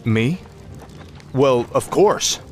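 A man's voice: medium pitch, animated, extremely slow. A man playing a confident, eager young warrior prince in a fantasy game, earnest and resolute.

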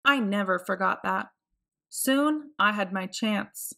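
A woman reading a picture book aloud for children, with clear, expressive phrasing. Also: mid-range voice, slow pace. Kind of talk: read-aloud storybook narration.

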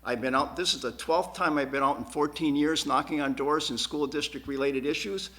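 A man answering questions as a school board candidate at a forum, earnest and emphatic, often repeating words haltingly. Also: on the high side, fairly even intonation, pushed and bright. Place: TV studio.

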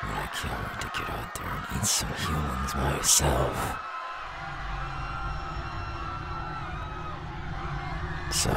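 A gloating, man-eating demon in an audio roleplay. His voice is extremely low and thick with gravelly fry, swinging widely between breathy menace and loud threat. There is faint noise behind him. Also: heavily acted. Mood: gleeful menace. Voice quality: very deep, gravelly growl with breathy drops. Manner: slow, threatening, sneering. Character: menacing, gloating male demon who eats humans.

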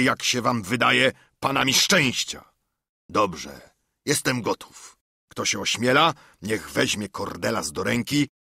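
A man plays an older pirate captain from a storybook, menacing and scornful. His husky voice swaggers and taunts, swinging widely in pitch as he asserts command. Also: pushed and bright, dry recording, clean studio sound, full character voice. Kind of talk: audiobook character acting.

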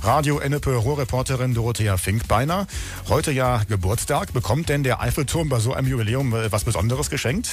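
A man's voice: mid-range voice, brisk. Male radio presenter over music. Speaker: radio host. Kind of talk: scripted local radio presenting. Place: radio studio.

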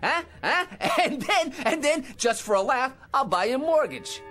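A man's voice: extremely high pitch, sing-song, measured pace, sharp tone, full character voice. A man acting a boastful, middle-aged bigmouth in a radio drama, chattering brashly and tagging on questions. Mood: boastful, scheming. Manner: brash, chattering, wheedling, peppered with "huh?".

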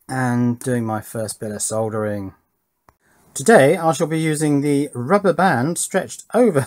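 A man's voice: medium pitch, darkish tone. A man chatting casually as he explains his circuit board build at a home workbench, with wry asides along the way. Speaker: electronics hobbyist presenter.

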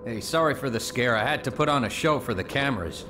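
An actor playing a friendly, wry undercover guard in a video game, talking informally. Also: male voice, mid-range voice, animated, fast, background noise, lightly acted. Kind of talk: casual video game dialogue.

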